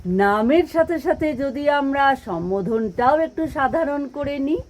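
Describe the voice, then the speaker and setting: A woman's voice: high-pitched, expressive, measured pace, darkish tone. An actress playing a witty, forward older single woman in an audio play, teasing and articulate. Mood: playfully tender.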